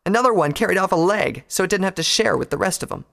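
A man's voice: sits high, huge pitch swings, quick pace, a bit bright, pitched above the performer's own voice, full character voice. A man voicing a brash, boastful young female pegasus pony fighter in a heated manner for a story reading. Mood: angry. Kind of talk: dramatised fan fiction reading.

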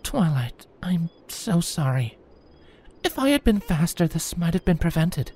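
A man voicing a gentle, regal princess in a storybook reading, speaking softly and sorrowfully in a raised voice. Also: high-pitched, expressive, a little slow, pitched above the performer's own voice. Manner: soft, gentle and apologetic.

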